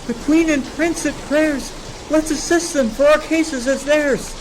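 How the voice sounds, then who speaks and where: A woman's voice: high voice, unhurried, background noise. A woman playing a resigned, weary courtier on a sinking ship in a Shakespeare radio play, lamenting. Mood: fearful, resigned. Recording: voice over rain and storm effects.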